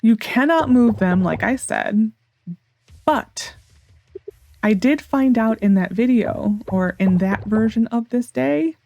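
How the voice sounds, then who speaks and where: Hoarse young woman, frustrated gameplay commentary. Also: fairly high pitch, animated, slow pace, a little mellow, no echo. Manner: rambling, frustrated and sarcastic about the game. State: hoarse, sore voice. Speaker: gaming YouTuber.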